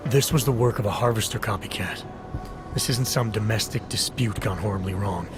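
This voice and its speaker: An actor playing a serious, guarded and quietly stubborn investigator in a video game, speaking in terse, deliberate lines. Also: male voice, lowish voice, music in the background, natural voice. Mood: grave, certain. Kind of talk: video game cutscene acting.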